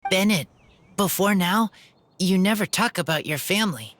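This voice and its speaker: A woman voicing an earnest, shy wild boy in a video game, speaking in simple, halting sentences. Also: mid-range voice, animated, slight background noise, natural voice. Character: earnest shy wild boy raised outside human society.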